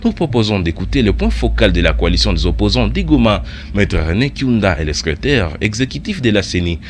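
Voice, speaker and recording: A male news anchor reading a radio news bulletin in a measured newsreader delivery. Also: on the low side, very animated, slight background noise.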